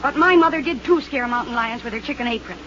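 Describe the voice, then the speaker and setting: An actress playing a spirited, stubborn young stewardess, pert and emphatic, in an old radio serial. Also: female voice, on the high side, fast, close to an everyday voice. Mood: indignant. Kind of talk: 1940s radio adventure serial acting. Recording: dull, very noisy old radio recording.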